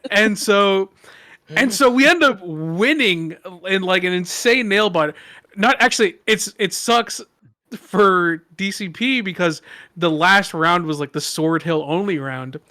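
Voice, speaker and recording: A man with a soft voice sits in on a casual podcast chat. His pitch runs high and his tone is bright. He often restarts his sentences as he tells a story. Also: a little slow, no room sound, clean studio sound. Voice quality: fairly soft voice. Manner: animated, restarts phrases often. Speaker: podcast guest.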